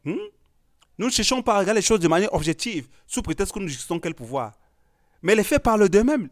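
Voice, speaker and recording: A man from Cameroon, an artist arguing hard in a panel discussion. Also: high voice. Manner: emphatic, rising to forceful declarations.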